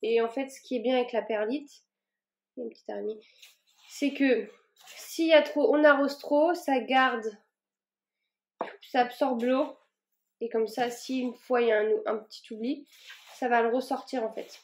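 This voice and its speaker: A woman's voice: fairly high pitch, steady tone, normal speed, long pauses. A woman vlogging casually as she repots her plants, talking in a rambling way with plenty of fillers and restarts. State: a bit warm and tired from the work.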